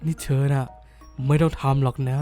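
A man voicing a bookish, easily flustered teenage schoolboy in an animated dub, grumbling to himself. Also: mid-range voice, a little fast, soft background music, natural voice. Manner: flustered, grumbling inner monologue. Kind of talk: anime dub acting.

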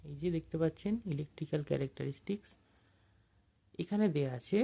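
A person narrating an informal technical tutorial as an instructor, explaining things step by step with pauses. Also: mid-range voice, warm tone, husky.

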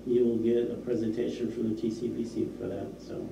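A man's voice: mid-range voice, monotone, brisk, gravelly. Man asking questions from across an echoey room. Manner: asks short practical questions. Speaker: board member. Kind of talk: meeting discussion. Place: small municipal meeting room. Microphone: far room camera. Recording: echoey room, distant mic, hiss.

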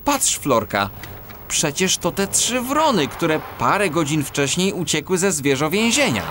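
A man's voice: fairly high pitch, sing-song, unhurried, noisy background. A man playing an earnest, bashful cat police detective, narrating and acting in a children's audio drama.

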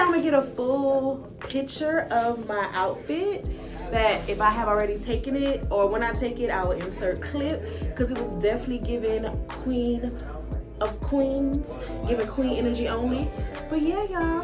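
Woman, 30s, chatty vlogger, phone held at arm's length, a little high-voiced, music clearly audible under her. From Atlanta, United States. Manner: chatty, bubbly, addresses viewers as y'all. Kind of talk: casual vlog chat to camera. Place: office turned party venue, indoors.

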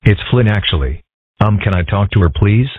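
A man's voice: on the low side, animated, normal speed, slightly rough, no echo, narrow, tinny audio. A man voicing a polite, earnest older townsman in a cartoon comic dub, with a reserved manner. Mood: polite, concerned. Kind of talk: comic dub character acting.

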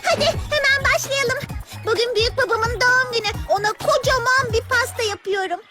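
A woman voicing a small, cheerful, polite but indecisive child character in a children's cartoon, with expressive, childlike delivery. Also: very high voice, normal speed. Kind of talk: animated children's cartoon dialogue. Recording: prominent children's music under dialogue.

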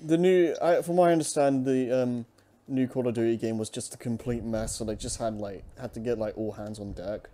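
A young man with a deep voice, chatting casually and hesitantly while playing a game, with plenty of fillers. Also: brisk. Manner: relaxed, hesitant, trails off with fillers. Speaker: let's play host. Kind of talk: casual chat over gameplay.

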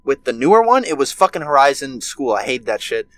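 A man's voice: medium pitch, very animated, a little fast, a bit bright, no room sound, low-quality recording. A nineteen-year-old man ranting with heavy swearing in a casual podcast chat, recorded over a webcam video call from his bedroom. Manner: profane, ranting, heated. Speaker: podcast co-host.

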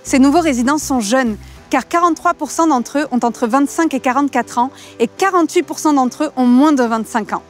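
A woman's voice: high-pitched, a little fast, faint music behind. A young woman hosting interviews and narrating the opening, asking friendly, prompting questions outdoors.

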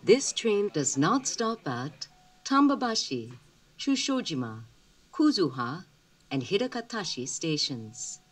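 A woman's voice: on the low side, very animated, drawn-out words. A woman reading a formal, carefully enunciated scripted train announcement, heard through the speakers inside a train car. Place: train car, over public-address speakers. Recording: recorded off an in-car speaker, slightly distant.

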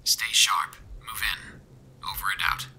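A man voicing a stern, regimented soldier on the radio in a horror story, clipped and quiet. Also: lowish voice, level tone, unhurried, in a whisper.

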